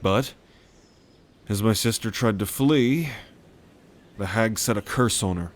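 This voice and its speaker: A man playing a world-weary, blunt dragon brother in a roleplay audio drama, telling things in a wry way. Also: lowish voice. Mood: grave, reflective. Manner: wry, unhurried storytelling with cursing.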